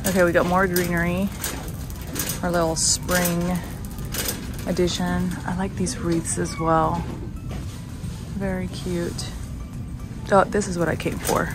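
A woman's voice: on the low side, fairly even intonation, unhurried, long pauses. A young woman vlogging casually while browsing a large store, chatting and murmuring to herself as she films on a handheld phone. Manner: chatty, soft-spoken, often murmuring to herself. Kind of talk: casual shop-along commentary. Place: large retail store indoors. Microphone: handheld phone camera. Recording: loud music under the voice. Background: loud background music.

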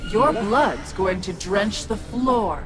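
A woman's voice: medium pitch, animated, takes it slowly. A woman voicing an imperious, mocking ancient soul-devouring witch in a video game. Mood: menacing. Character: haughty, menacing ancient witch who devours souls. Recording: loud music behind studio game voice.

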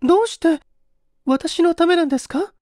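Man voicing an anguished young woman, high-pitched and pleading. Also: moderate pace, darkish tone, no room sound, clean studio sound. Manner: polite, pleading, emotional. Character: anguished earnest young woman, an old man's daughter.

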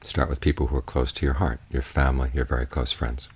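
A man teaching in a guided meditation, giving measured, unhurried instructions with long pauses. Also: rumbling low, little pitch movement, husky. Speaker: Buddhist monk, meditation teacher.

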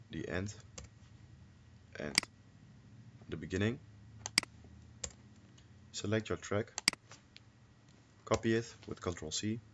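A man with a low-pitched voice presenting a casual software tutorial, recorded on a computer microphone. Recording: noisy home microphone with clicks.

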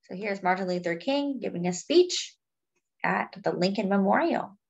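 A woman reading a picture book aloud to young children in a deliberate, teacherly way, adding simple explanations as she goes. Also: mid-range voice, animated, vocal fry, darkish tone, slightly rough, highs cut off. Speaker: children's read-aloud storyteller.

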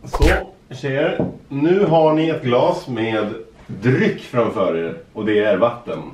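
A man's voice: mid-range voice, darkish tone, recorded from a distance, slight background noise. A man in his thirties hosting a family taste test at a table indoors, teasing and quizzing the children in casual chat. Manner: playful, teasing quizmaster. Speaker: family vlog host running a taste test. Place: bright indoor room at a dining table. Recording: echoey room, from the mic.

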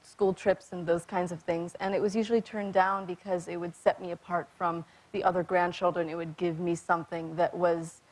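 A young woman answering interview questions, soft-spoken and hesitant, with frequent pauses and ums. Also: fairly low pitch, level tone, rough recording. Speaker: guest. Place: talk show studio.

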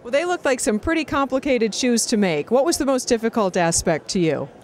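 A middle-aged woman with a fairly high voice presents interviews for television. She talks into a handheld mic, with noticeable show-floor noise around her. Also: expressive, a little fast. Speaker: host and interviewer. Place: large indoor trade show hall. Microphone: handheld interview microphone. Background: trade show floor noise.

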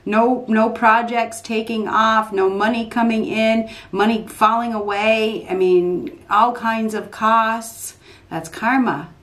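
A woman in her fifties giving an unscripted tarot reading at a desk in a small dark room, repeating herself emphatically as she thinks aloud. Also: fairly high pitch, fairly even intonation, takes it slowly. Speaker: tarot reader.

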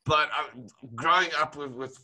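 A man's voice: on the high side, normal speed, pushed and bright. A man, hosting a casual interview, talking eagerly in rambling sentences and laughing often. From South Africa. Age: 40s. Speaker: podcast host.

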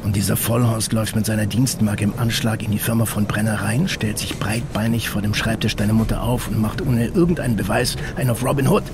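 A middle-aged investigator in an audio drama, speaking low with plenty of fry. He is guarded and matter-of-fact, recorded over light ambient noise. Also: steady tone, fairly quick, hardly any pauses. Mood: measured, guarded. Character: guarded middle-aged undercover investigator explaining a past operation. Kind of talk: German audio drama dialogue.

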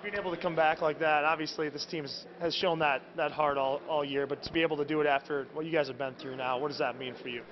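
A man's voice: a little high, fairly even intonation, quick pace, hardly any pauses, crowd noise. A man working as a sports reporter, asking casual questions in a postgame press scrum. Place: indoors in a postgame media scrum. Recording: dull sound, clear background noise.

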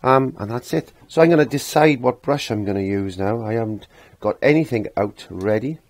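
A middle-aged man with a croaky voice giving a casual step-by-step painting lesson, rambling as if to himself and repeating his phrases. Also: mid-range voice, animated, normal speed, a little mellow, no echo. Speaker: painting instructor. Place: indoor home art studio.

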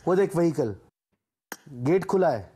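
Indian man, husky, mellow tone, slightly high pitch, short game voice-pack callouts. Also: big pitch swings, unhurried.

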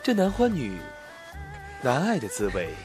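An actor playing a sly, seductive fox prince in a costume audio drama, with a slow, lingering delivery. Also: male voice, mid-range voice, wildly swooping pitch, music in the background. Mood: teasing, seductive.

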